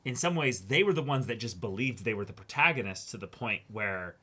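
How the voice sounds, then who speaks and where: A man's voice: fairly low pitch, lively intonation, fairly quick, sharp tone. A man co-hosting a casual, emphatic discussion of a show, talking animatedly and swearing freely. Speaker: co-host of an anime reaction discussion show.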